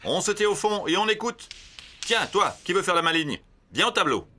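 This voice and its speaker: A man playing a gruff, bossy giant schoolmaster in a children's cartoon, scolding and commanding. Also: sits high, expressive, moderate pace, voice pushed up. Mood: impatient.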